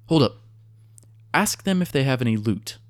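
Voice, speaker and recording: A man's voice: mid-range voice, animated, moderate pace, no room sound, clean studio sound, pitched above the performer's own voice, close to an everyday voice. A man voicing a fierce, foul-mouthed female gnome adventurer who talks bluntly and sarcastically.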